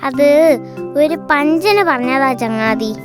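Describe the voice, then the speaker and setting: A woman's voice: sits high, expressive, slow pace, over music. A woman voicing a timid but eager young leopard cat in a children's cartoon. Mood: sheepish.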